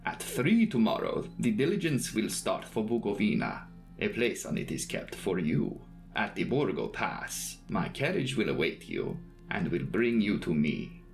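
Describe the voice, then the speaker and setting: A man voicing a courtly, sinister old count in a gothic audiobook, speaking slowly and formally. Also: low-pitched, gravelly, pitched below the performer's own voice, full character voice. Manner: slow, formal, deliberate.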